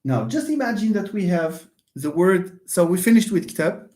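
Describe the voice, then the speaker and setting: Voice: mid-range voice, animated, moderate pace, warm tone. A university lecturer giving a live online lecture, emphatic, repeating phrases and speaking straight to students.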